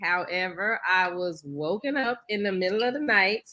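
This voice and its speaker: A woman's voice: medium pitch, moderate pace, lo-fi sound. A woman co-hosting a morning talk show, telling a story and acting out the dialogue. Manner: animated storyteller, acts out dialogue. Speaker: show co-host. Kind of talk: casual storytelling chat.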